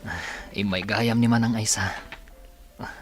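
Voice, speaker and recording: A man acting a mild, ailing hospital patient in a Filipino radio drama, with a husky voice. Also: on the low side, fairly even intonation, measured pace, soft background music, close to an everyday voice.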